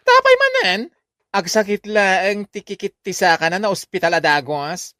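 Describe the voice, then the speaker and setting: Joyce, swooping camp Ilocano beautician. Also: male voice, high-pitched, big pitch swings, moderate pace, bright, forceful tone, no echo, studio quality, heavily acted. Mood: flustered, camp. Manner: camp, exaggerated, coy. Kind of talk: radio comedy drama acting.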